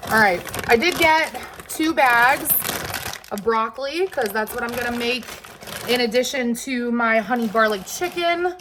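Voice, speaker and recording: A woman's voice: a little high, background noise. A woman with a Southern US accent, sniffly from allergies, chatting casually and rambling as she shows off her groceries. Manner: chatty and rambling, with loose fillers and asides. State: hot and sweaty. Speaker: vlogger showing a grocery haul. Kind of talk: casual chat.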